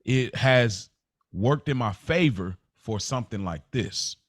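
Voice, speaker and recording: A man's voice: mid-range voice, expressive, takes it slowly, no room sound, studio quality. A man speaking as a podcast co-host in casual, reflective conversation, earnest and conversational in his delivery.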